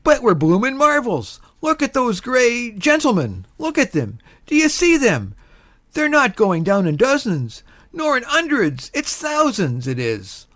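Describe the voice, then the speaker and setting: A man voicing an excitable, bellowing, plain-spoken English soldier in a story. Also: extremely high pitch, a little slow, no echo, pitched above the performer's own voice, exaggerated voice. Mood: amazed, frantic. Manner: bellowing, exclamatory.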